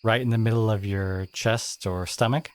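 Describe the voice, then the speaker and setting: A man playing a teenage school bully who is secretly wounded and caring, speaking in a halting, confiding, sardonic way in an intimate audio roleplay. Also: lowish voice, steady tone, close to an everyday voice. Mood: knowing, sardonic.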